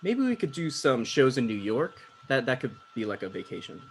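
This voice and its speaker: A man's voice: medium pitch, sing-song, fairly quick, slight background noise, voice pushed down. A man playing an anxious, self-important talk show host in his thirties in a comedy table read, petulant and defensive. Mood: eager. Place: video call.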